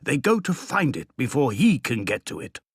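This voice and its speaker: A man's voice: medium pitch, sing-song, moderate pace, slightly rough. A man narrating a wizard tale for a video game in a scripted storybook style. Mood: measured storytelling. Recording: light music behind the voice.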